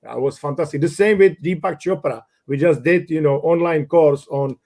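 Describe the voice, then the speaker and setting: A man's voice: on the high side, normal speed, darkish tone. A man in his fifties with a Czech accent, a non-native English speaker, co-hosting a casual live chat and taking questions, with lots of "you know" and "right". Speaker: co-host.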